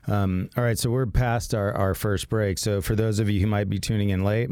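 Radio host guy, raspy sinus voice, deep and gravelly, dry studio sound. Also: no room sound. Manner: relaxed, reads phone numbers slowly. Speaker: radio call-in show host. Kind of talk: radio call-in health show hosting.

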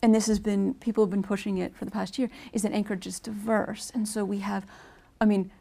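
Gravelly-voiced woman chatting on a panel. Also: medium pitch, level tone, brisk, mellow. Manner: chatty, enthusiastic, many restarts. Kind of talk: casual panel discussion.

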